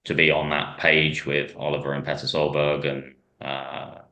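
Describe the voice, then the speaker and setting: Very deep-voiced man, bright tone. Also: level tone, moderate pace. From Ireland. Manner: rambling, many ums and restarts, storytelling. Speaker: hill climb driver, guest. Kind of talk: casual interview chat.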